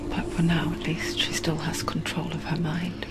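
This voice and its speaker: A woman acting as an intimate, ominous narrator inside a troubled mind, hushed and dramatic, in a video game. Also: deep voice, level tone, normal speed, in a whisper, natural voice.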